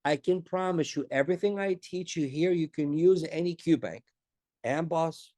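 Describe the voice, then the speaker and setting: A man's voice: fairly high pitch, moderate pace, warm tone, no echo. A middle-aged man with a congested voice, coaching students in a live online class in a chatty, reassuring way, often asking rhetorical questions. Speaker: exam prep coach.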